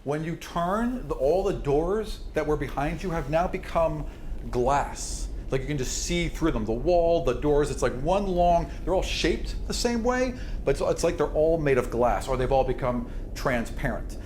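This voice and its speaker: A man's voice: on the high side, animated, normal speed, a little mellow, slight background noise. A man improvising tabletop game narration as game master, descriptive and conversational. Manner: conversational, descriptive, swears casually.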